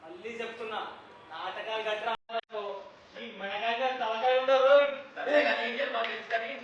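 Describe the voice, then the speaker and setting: A man playing a bossy, threatening village man in a stage play, speaking gruffly. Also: very high-pitched, steady tone, fairly quick, fairly bright tone, dull audio, a little hiss or hum. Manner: gruff, commanding. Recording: echoey hall, far from mic.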